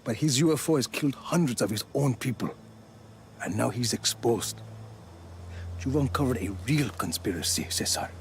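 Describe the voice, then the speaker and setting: Cool-headed action hero actor, expressive delivery. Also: male voice, medium pitch, normal speed, a little hiss or hum, lightly acted. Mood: dry, calm. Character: confident, rugged male action hero, cool-headed operative. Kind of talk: video game cutscene acting.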